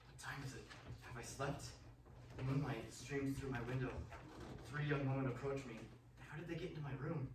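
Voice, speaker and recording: An actor playing a terrified young Englishman who recalls horrors in a halting, repetitive radio-drama delivery. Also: medium pitch, close to an everyday voice. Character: terrified young Englishman recalling a vampire's castle under hypnosis. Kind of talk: stage-like radio drama acting. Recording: roomy, distant mic, faint noise.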